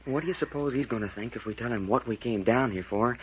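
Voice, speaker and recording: An actor playing a worried, secretive young university student in an old-time radio mystery, earnest and guarded. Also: male voice, mid-range voice, quick pace, lightly acted. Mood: urgent, worried. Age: young adult. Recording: dull, noisy vintage radio recording.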